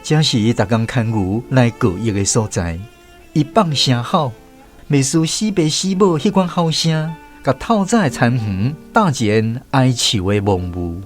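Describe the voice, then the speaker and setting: A man's voice: medium pitch, lively intonation, unhurried, faint music behind. A man with a Taiwanese accent narrating a scripted radio drama as a storyteller.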